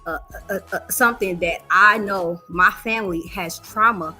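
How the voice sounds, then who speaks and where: A woman's voice: medium pitch, normal speed, sharp tone, soft background music. A woman in her forties arguing her point in a heated casual debate, emphatic and profane, repeating phrases for stress. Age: 40s.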